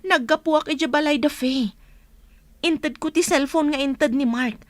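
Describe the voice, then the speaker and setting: Woman doing a young maid in a radio drama, high voice, urgent and careful like keeping a secret. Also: measured pace, dry recording. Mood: hesitant, anxious. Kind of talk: Ilocano radio comedy drama acting.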